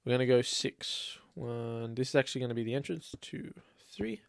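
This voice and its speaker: A man's voice: medium pitch, moderate pace, creaky, no echo, clean studio sound. A man with a slight cold, chatting casually and rambling as he plays a building game. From Australia. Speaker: let's play host. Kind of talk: casual gameplay commentary.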